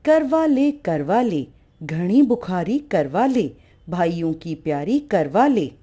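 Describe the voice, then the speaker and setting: A woman's voice: lowish voice, big pitch swings, slow pace, dark tone, husky, exaggerated voice. A woman voicing an imperious mother goddess in a folk tale, with a chanting, commanding manner. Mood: chanting, calling.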